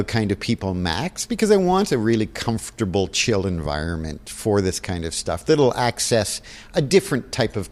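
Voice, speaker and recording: A man in a casual interview as a theatre technician, talking in a halting, informal way in a reverberant space. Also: fairly low pitch, a little mellow. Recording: reverberant hall.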